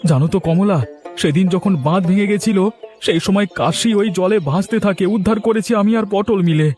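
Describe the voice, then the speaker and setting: A man's voice: high-pitched, brisk, a little mellow, faint music behind, lightly acted. A man playing a boastful, self-serving landlord's henchman chatting at home in a cartoon, sounding smug. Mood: excited. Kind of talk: Bengali cartoon dialogue acting.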